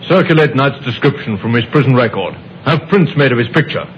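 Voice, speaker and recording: An actor playing a calm, shrewd police inspector with a measured, terse, courteous manner in an old radio crime drama. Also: male voice, medium pitch, natural voice. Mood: brisk, businesslike. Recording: muffled old radio recording, faint noise.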